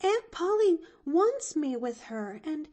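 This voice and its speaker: An eager little girl pleads in a high, lifted voice that stumbles over its words, as a woman reader puts her on. The delivery is unhurried, and the tone stays soft and mellow. It sounds like a storybook read aloud. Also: very animated, heavily acted. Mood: earnest. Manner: stammering, eager, high and bright.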